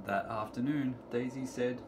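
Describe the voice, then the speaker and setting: A middle-aged man reading a children's picture book aloud to preschoolers in deliberate, sing-song storytelling phrasing, recorded in a room at home. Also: mid-range voice. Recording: clearly noisy home recording, room ambience.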